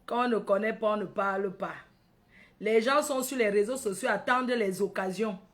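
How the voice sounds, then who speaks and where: A woman's voice: mid-range voice, moderate pace. A woman from Cameroon with a Cameroonian accent hosting a casual livestream, addressing followers by name, repeating phrases and tagging on questions. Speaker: livestream host.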